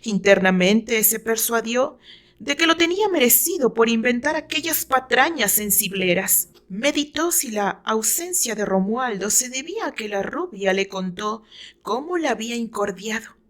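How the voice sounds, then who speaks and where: A woman's voice: fairly high pitch, lively intonation, moderate pace, fairly bright tone. A woman with a Mexican accent, an author narrating her own story in a dramatic scripted style.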